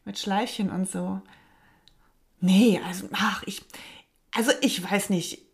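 Eine Frau mit leichtem Lispeln, die als Co-Host offen und locker plaudert und dabei oft lacht. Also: female voice, medium pitch, fairly even intonation, takes it slowly. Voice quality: slight lisp. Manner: frank, chatty, laughs often. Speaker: podcast co-host. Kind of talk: casual chat.

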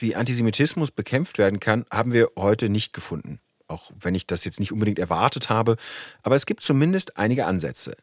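A male podcast host speaks in a calm, measured way. His voice is low, the sound is dry, and faint music plays behind him. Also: no echo. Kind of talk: interview questions and scripted wrap-up.

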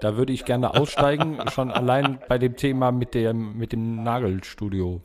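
Male podcast co-host, medium-pitched voice, casual talk that stops and restarts. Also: fairly even intonation, normal speed. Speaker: podcast co-host and band member.

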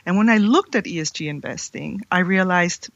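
A woman's voice: lowish voice, normal speed, vocal fry, a bit bright, no echo. A middle-aged woman from Ecuador, a company founder, answering interview questions thoughtfully with examples. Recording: dry, dull lo-fi sound.

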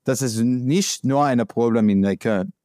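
A man from the United States with an American accent, speaking in a halting, searching way with many fillers, answering as an interviewee. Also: mid-range voice, warm tone, no room sound, studio quality. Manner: halting non-native speech with many fillers. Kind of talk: conversational interview.

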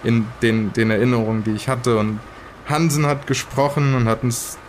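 Man playing halting, sardonic horror survivor, noisy recording. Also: medium pitch, steady tone, lightly acted. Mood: haunted, halting. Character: shaken, sardonic male survivor of occult horrors. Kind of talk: improvised in-character retelling for an audio drama.

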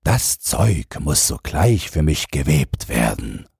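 Man playing a vain, deep-voiced pondering emperor. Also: very animated, slow pace, creaky, a little mellow, husky, no room sound, clean studio sound, exaggerated voice. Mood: musing, self-important. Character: vain, pondering emperor, self-important fairy-tale ruler.

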